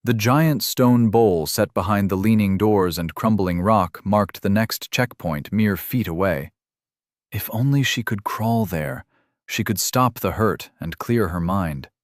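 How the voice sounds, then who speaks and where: A man reading a fantasy audiobook in a measured, unhurried manner. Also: on the low side, dry recording, clean studio sound. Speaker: audiobook narrator. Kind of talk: scripted fantasy narration.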